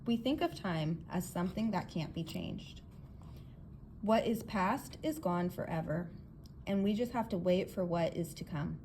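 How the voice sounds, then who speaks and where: A woman's voice: lowish voice, expressive, unhurried, dark tone. A woman reads as an earnest storybook inventor, a confident man explaining himself to guests. The delivery is emphatic and theatrical, lifted above the narration, with some noise behind it. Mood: patient, insistent. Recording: clear background noise.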